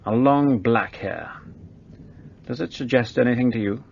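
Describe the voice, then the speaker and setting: An actor playing a brusque, arrogant police detective in a radio play, speaking in a curt, commanding way. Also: male voice, medium pitch, measured pace, vocal fry, muffled recording, slight background noise.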